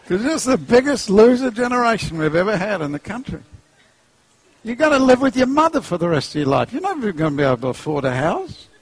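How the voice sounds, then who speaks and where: A middle-aged man with a New Zealand accent preaching an animated, humorous sermon, jumping into playful voices. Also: fast talker, husky, no echo, poor audio. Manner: jokey, acts out characters, pitch leaps high.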